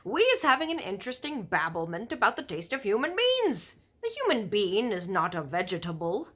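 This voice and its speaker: Woman playing a loud, blustering storybook giant. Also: medium pitch, wildly swooping pitch. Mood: boastful, explaining. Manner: loud, emphatic, drawn-out declaiming. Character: huge boisterous indignant giant with mangled folksy grammar. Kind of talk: children's storybook read-aloud.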